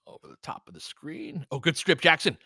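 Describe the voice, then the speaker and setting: Excitable man calling basketball, wildly varied pitch. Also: brisk, creaky, husky, no echo, studio quality. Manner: excitable, whooping and swearing, partisan. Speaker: play-by-play commentator and host. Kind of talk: informal fan live basketball commentary.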